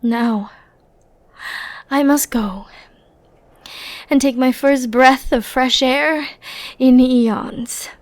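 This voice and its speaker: Slow, breathy banished villainess, acted by a woman. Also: high-pitched, no room sound. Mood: devoted, soft. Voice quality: breathy, often near a whisper. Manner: slow, tender, brooding, with dramatic pauses. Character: flirty, dangerous banished female villain, ancient and lovelorn. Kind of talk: intimate ASMR roleplay.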